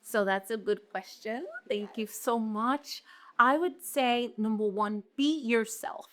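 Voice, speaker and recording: A woman's voice: on the high side, slow pace. A young woman with a Brooklyn accent, a real estate agent telling stories in a casual interview and acting out the dialogue. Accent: Brooklyn New York. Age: young adult. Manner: animated, acts out quoted dialogue.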